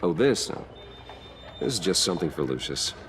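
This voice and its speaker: An actor playing a guarded, courteous wealthy businessman in a video game, gravelly and measured in delivery. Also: very animated, music in the background. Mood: polite, evasive. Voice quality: very deep, gravelly.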